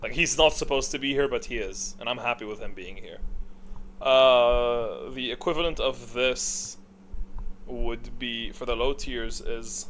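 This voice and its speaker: A man's voice: mid-range voice, fairly bright tone, a little hiss or hum. A man giving casual, off-the-cuff commentary as he ranks things, thinking aloud and repeating short phrases. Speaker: video creator ranking game heroes.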